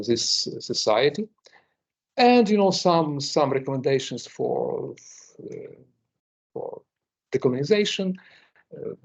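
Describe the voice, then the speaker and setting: A man's voice: medium pitch, vocal fry, a little mellow. An older man with a Ukrainian accent, giving a seminar talk with many fillers and repeated words. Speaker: guest lecturer. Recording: muffled lo-fi recording.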